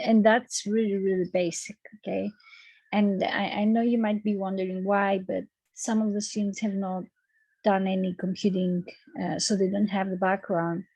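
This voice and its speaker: A woman with a Greek accent giving an online course lecture, chatty, often repeating words and filling pauses with uh and um. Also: mid-range voice, creaky, a little mellow, slightly rough, no room sound, low-quality recording. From Greece. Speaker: university lecturer. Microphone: video call recording.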